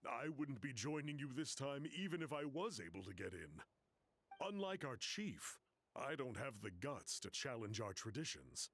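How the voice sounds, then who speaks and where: Talkative young-hearted guide, video game, husky, animated intonation, casual storytelling. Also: male voice, mid-range voice, normal speed. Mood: resigned. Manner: casual, explanatory, chatty.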